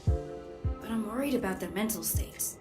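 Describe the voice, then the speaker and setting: Slow-talking, stoic young guard boy played by a woman. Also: medium pitch, expressive, loud music behind the voice, close to an everyday voice. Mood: subdued, stoic. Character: stoic, dutiful young male security guard, self-sacrificing. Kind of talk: video game character dialogue.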